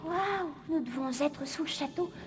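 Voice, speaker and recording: A woman voicing a bold, bossy young girl adventurer in a French cartoon dub, brisk and determined. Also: high-pitched.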